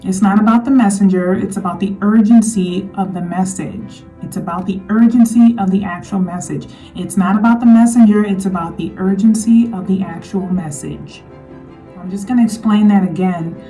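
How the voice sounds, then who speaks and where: A woman speaks in an earnest, repetitive commentary style, her voice mellow and a little hoarse. She sits somewhat away from the mic. Soft music plays faintly behind her. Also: mid-range voice, normal speed, distant mic, rough recording. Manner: earnest, repeats points to drive them home. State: slightly hoarse, losing her voice. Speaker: vlogger. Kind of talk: casual commentary monologue.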